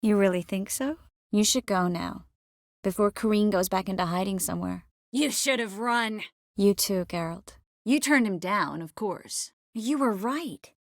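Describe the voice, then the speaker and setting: An actress playing a poised, caring yet firm sorceress in scripted video game dialogue. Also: female voice, medium pitch, measured pace, dry recording, studio quality, close to an everyday voice. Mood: calm, firm.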